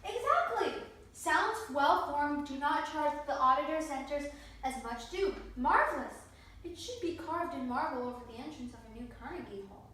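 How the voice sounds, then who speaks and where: A woman's voice: high-pitched, expressive, normal speed, fairly bright tone. A woman acting an elderly, passionate piano virtuoso and teacher in readers theatre, with an effusive, theatrical manner. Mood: pleased. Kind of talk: amateur readers theatre performance. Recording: echoey room, distant mic, faint noise.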